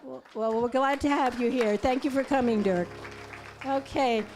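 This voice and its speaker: A woman's voice: sits high, music in the background, crowd noise. Chatty woman leading a church service. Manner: chatty, halting with fillers, greets newcomers by name. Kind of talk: warm welcoming announcements to a congregation. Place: church hall.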